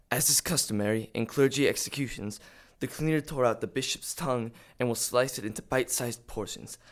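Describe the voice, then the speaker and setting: A dutiful church acolyte, played by a man, speaks at an average male pitch. He is deferential and formal, reciting like an official report in a radio drama. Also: lightly acted. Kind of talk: audio drama acting.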